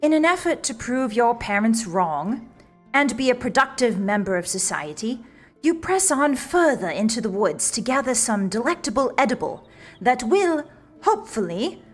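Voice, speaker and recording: Female narrator for comic fantasy game: arch, theatrical, very expressive pitch, soft music behind. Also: normal speed. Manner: wry, arch, mock-grand storyteller. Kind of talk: theatrical comic visual-novel narration, second person.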